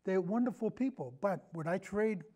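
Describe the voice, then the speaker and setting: A man's voice: high voice, expressive, normal speed, warm tone. A man speaking as a podcast guest in a casual film discussion, rambling earnestly and repeating himself as he goes.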